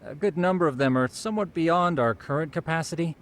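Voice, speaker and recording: A man's voice: fairly high pitch, brisk, natural voice. An actor playing a polite, precise and eager male associate in an English video game dub, formal and explanatory. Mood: helpful.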